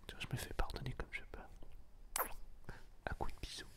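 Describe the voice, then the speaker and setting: A man's voice: fairly quick, a bit bright. A man whispering intimately to the listener in a casual ASMR style, with a playful, teasing manner. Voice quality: breathy whisper. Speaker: ASMR video host.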